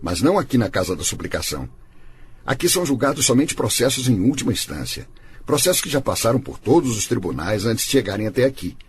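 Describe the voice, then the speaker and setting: A man's voice: medium pitch, sing-song, fast, slight background noise, close to an everyday voice. An actor playing a confident, courteous male defence lawyer in a radio drama, speaking formally and with assurance. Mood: courteous, reassuring.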